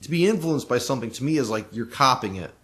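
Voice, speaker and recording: A man's voice: mid-range voice, expressive, quick pace, a bit bright. A man co-hosting a podcast in casual conversation, giving emphatic, opinionated advice. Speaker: podcast co-host, recording engineer.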